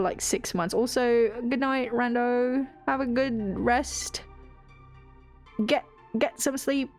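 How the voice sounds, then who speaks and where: A young British woman streamer, rambling and laughing through casual chat and swearing freely. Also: a little high.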